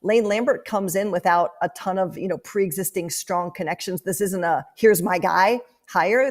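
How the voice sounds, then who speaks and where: A woman giving thoughtful sports analysis as a guest, speaking in an articulate, measured way and stressing key words. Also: lowish voice, darkish tone. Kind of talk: thoughtful hockey analysis in an interview.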